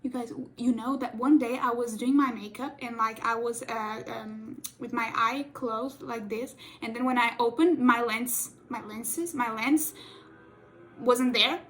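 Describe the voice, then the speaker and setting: A woman's voice: on the high side, steady tone, measured pace, a bit bright, low-quality recording. A young woman whose first language is Portuguese, casually rambling and joking while doing a makeup tutorial, often correcting her own words. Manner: rambling, playful, self-correcting, giggly. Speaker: cosplay makeup vlogger.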